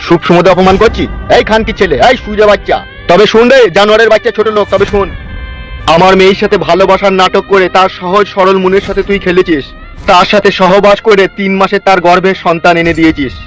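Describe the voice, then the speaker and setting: A high-voiced, hot-tempered middle-aged father from a comedy cartoon, played by a man. He scolds in an exaggerated, very rapid rant, with music behind him. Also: flat delivery, a bit bright. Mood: furious. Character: furious, foul-mouthed protective village father. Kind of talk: melodramatic comedy cartoon dubbing. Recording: music under the voice.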